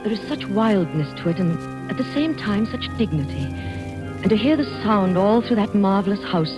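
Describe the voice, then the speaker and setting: A woman's voice: medium pitch, lively intonation, moderate pace, close to an everyday voice. An actress playing a genteel, impressionable young Victorian lady in an earnest, polite 1940s radio style. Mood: enraptured. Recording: dull old radio recording, music behind.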